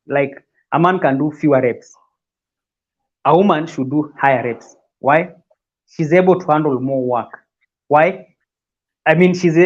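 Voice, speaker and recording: A man's voice: on the high side, muffled recording. A man from Kenya, a guest on a fitness livestream, talking casually and often repeating words as he explains.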